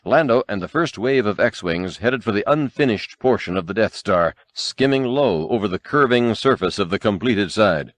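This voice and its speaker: A man's voice: on the low side, animated, normal speed, few pauses, dry recording. A man narrating an audiobook in a dramatic, scripted storytelling style.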